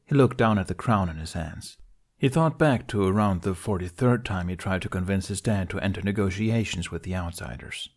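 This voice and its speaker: A man's voice: low-pitched, a little fast, a little mellow. A man reading scripted fan fiction aloud as an amateur story narrator.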